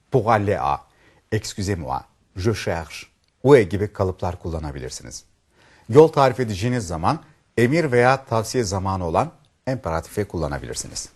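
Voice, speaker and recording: Male lesson host, lowish voice, animated explanatory delivery to viewers. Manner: explanatory, addressing viewers directly. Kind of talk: scripted teaching explanation.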